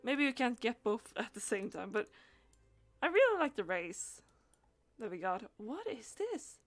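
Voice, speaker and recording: A woman's voice: fairly high pitch, big pitch swings, unhurried, soft background music. A woman doing casual live gaming stream commentary, thinking aloud hesitantly and letting phrases trail off.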